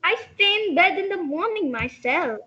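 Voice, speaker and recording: A woman's voice: extremely high pitch, expressive, moderate pace, pushed and bright, a little hiss or hum, exaggerated voice. A woman playing a prim, polite neighbour lady, reciting lines in an amateur reader's theater. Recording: dull, muffled sound.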